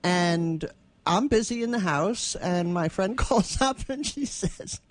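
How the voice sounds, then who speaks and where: A woman's voice: lowish voice, animated, slow, no room sound. Dry-sounding woman radio co-host. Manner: chuckles often while telling anecdotes. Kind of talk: casual chat and literary reading.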